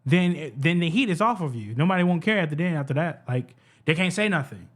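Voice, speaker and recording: A man with a fairly high voice reacts to a clip in a heated, unpolished rant. He repeats phrases, restarts sentences and swears freely. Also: animated, brisk. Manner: heated, profane, repeats himself, stumbles over words. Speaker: reaction streamer. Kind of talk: ranting reaction commentary.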